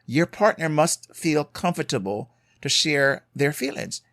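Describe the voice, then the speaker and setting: A man's voice: fairly high pitch, expressive, unhurried, a bit bright, dry recording, studio quality. A man with a Jamaican accent hosting a solo podcast, giving earnest marriage advice, stressing key points by repeating them and checking in with "right?".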